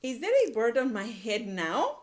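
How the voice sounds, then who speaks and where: A woman's voice: on the high side, very animated, normal speed. A woman voicing an anxious, easily upset male elephant from a storybook, exclaiming and asking questions in dismay.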